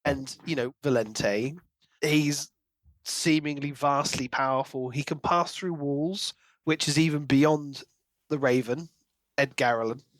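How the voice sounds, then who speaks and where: A man's voice: fairly high pitch, slow, dry recording, poor audio. A man co-hosting a podcast, chatting casually and enthusiastically about a book. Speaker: podcast co-host.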